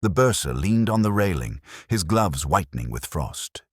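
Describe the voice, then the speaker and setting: A man playing a melancholy detective who recalls a case in measured, literary first-person mystery narration. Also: deep voice, very animated, slightly rough, no room sound, studio quality, exaggerated voice. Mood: brooding, reflective. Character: melancholy older male English police detective recalling a case. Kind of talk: scripted first-person mystery narration, radio drama style.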